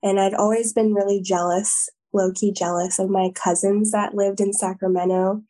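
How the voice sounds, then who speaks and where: A woman's voice: mid-range voice, flat delivery, normal speed, mellow, rough recording. An American woman speaking articulately and earnestly as a zine founder in a thoughtful interview.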